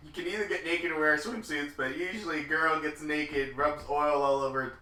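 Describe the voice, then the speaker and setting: Bright young man joking off-mic. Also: on the high side, steady tone, moderate pace, far from the mic. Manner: joking, teasing, does the shout-outs. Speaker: podcast co-host. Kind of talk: crude casual banter. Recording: echoey room, voice off-mic.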